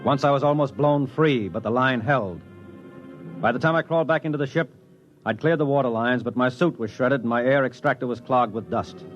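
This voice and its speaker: An actor playing a gruff, determined space explorer who narrates and gives orders in a decisive way, in 1950s radio drama style. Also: male voice, mid-range voice, brisk, close to an everyday voice. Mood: tense narration. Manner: decisive, commanding. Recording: dull old radio recording, loud music.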